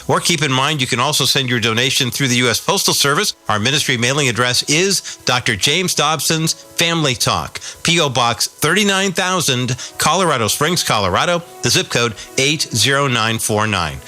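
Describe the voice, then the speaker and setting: A man's voice: mid-range voice, bright, forceful tone. An American man reading scripted narration as a radio program's announcer and host. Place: studio. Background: music.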